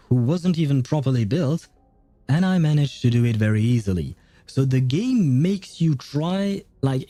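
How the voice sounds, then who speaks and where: A man's voice: mid-range voice, warm tone, no room sound. A man chatting casually on a livestream in a rambling, easygoing, chuckling way. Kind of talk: casual livestream chat about games and life.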